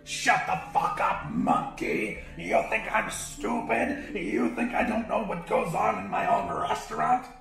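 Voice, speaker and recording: A cruel sea-monster crab boss from a horror audiobook, screeching high with a heavy gravelly rasp. The delivery swoops wildly as he bullies and rants at his human slaves. There is a roomy echo on the voice. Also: wildly swooping pitch, unhurried, few pauses, faint music behind, heavily acted. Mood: furious. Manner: ranting, shouting, profane bullying. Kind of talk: audiobook character voice.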